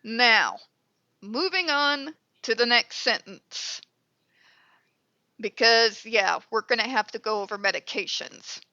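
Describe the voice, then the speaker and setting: A woman's voice: on the high side, slow pace, sharp tone, no room sound, muffled recording. Blunt, congested woman on a headset. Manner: blunt, exasperated, swears freely. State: stuffed up, congested. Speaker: commentary channel host. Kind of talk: ranting commentary.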